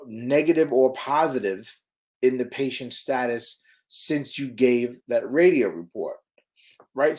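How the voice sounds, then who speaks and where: Guy doing a live stream lesson for ems crews, middle pitch, talks loose with lots of ums and "right?". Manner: chatty, many fillers and tag questions. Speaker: EMS educator. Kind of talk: casual live-stream teaching.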